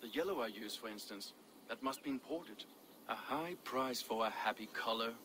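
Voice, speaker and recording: Proud Viking shipwright for a game: earnest, solemn, mid-pitched with a hard bright edge, faint background noise. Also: male voice, lively intonation, moderate pace. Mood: fond, wistful. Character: proud, earnest Viking warrior and master shipbuilder. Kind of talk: video game character acting.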